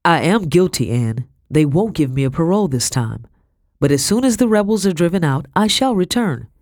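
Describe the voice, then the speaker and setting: A woman voicing a domineering, desperate colonial gentleman in an audiobook, urgent, forceful and commanding. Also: low-pitched, very animated, normal speed, dry recording, studio quality. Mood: grave. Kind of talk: dramatic audiobook character acting.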